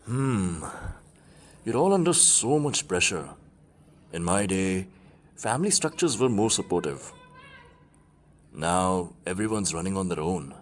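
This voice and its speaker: Man acting a wistful older commuter, animated intonation. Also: low voice, measured pace, long pauses, a little hiss or hum. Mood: reflective, fond. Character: kindly older office commuter nearing retirement, wistful, fatherly. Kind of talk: amateur radio drama acting.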